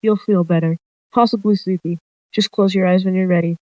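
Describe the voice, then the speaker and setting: A narrator performs a wicked she-cat healer from an animal roleplay. She sounds smooth and sinister, soothing on the surface with menace beneath, at a middling pitch on a dull recording. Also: steady tone, a little fast, darkish tone, no room sound, natural voice. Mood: falsely soothing. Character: sly evil female cat healer, falsely soothing, menacing. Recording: dull lo-fi close dry recording.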